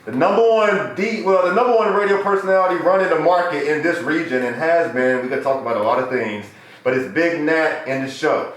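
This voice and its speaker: A man's voice: fairly high pitch, brisk. A man hosting a podcast interview, talking in loose, joking, slangy banter. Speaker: podcast host. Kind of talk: casual interview chat. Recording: very echoey room.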